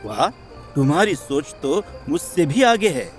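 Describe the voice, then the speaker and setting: Cartoon husband, male actor: affectionate and supportive, very animated intonation, reassuring delivery. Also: on the high side, a little slow, faint music behind. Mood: encouraging, teasing. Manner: reassuring, expressive. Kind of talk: cartoon moral story voice acting.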